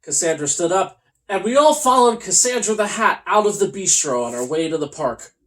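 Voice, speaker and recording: A host reading a comic children's storybook aloud in a theatrical, mock-earnest way with deliberate pauses. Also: mid-range voice, expressive, fairly bright tone.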